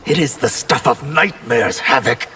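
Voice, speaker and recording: An actor playing a proud, disillusioned water mage in a video game, grave and measured. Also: male voice, medium pitch, lively intonation, very noisy, close to an everyday voice. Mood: grave resolve. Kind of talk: video game character dialogue.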